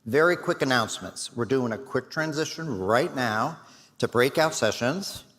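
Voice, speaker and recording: A middle-aged man, hoarse and losing his voice, giving host remarks and thanks at a conference with a self-deprecating, filler-heavy manner. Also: mid-range voice, lively intonation, moderate pace, fairly bright tone. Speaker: executive director. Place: large conference hall. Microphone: stage mic. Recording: long hall reverb.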